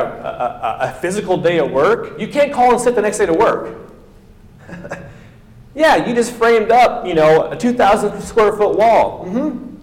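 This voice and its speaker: Man, pastor, emphatic sermon, higher voice, echoey hall with long reverb. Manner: emphatic, acts out quoted voices, rising to near-shouting.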